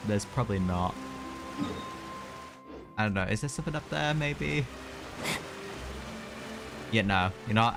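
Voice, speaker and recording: A man giving casual, rambling live commentary as he plays a game, muttering his reactions. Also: lowish voice. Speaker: game streamer. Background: game music.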